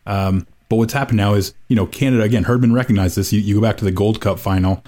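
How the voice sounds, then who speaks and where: A man's voice: fairly low pitch, quick pace, mellow. A man co-hosting a casual soccer podcast, giving a wry, rambling analysis full of fillers. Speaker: podcast co-host.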